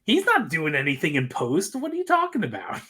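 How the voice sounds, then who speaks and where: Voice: mid-range voice, huge pitch swings, brisk, a bit bright, breathy edge. A podcast host with a joking, teasing way of talking, bantering casually about sports.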